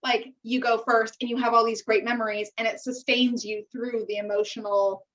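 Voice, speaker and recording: A woman's voice: on the high side, flat delivery, moderate pace, a bit bright, lo-fi sound. An American woman co-hosting a podcast in casual, emphatic chat peppered with slang. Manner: emphatic, uses swearing and slang. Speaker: podcast co-host. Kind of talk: casual chat about a TV dating show.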